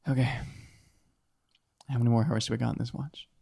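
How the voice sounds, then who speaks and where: A man's voice: medium pitch, flat delivery, fairly quick, gravelly, warm tone. A man chatting casually as a player in a tabletop game, with a wry, joking manner.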